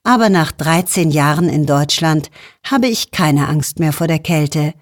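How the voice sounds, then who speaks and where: Lower-pitched woman from Mexico, dry studio sound. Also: unhurried, no echo. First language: Spanish. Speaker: magazine author reading her column. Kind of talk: scripted first-person narration.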